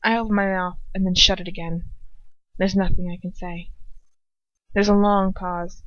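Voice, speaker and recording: A woman's voice: lowish voice, steady tone, moderate pace, no room sound. Woman reading fanfic casually, stops to comment on herself, dry and kinda lo-fi home mic. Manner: informal, self-interrupting. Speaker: amateur fan fiction reader. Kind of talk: informal first-person story narration with casual asides. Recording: dull lo-fi home recording.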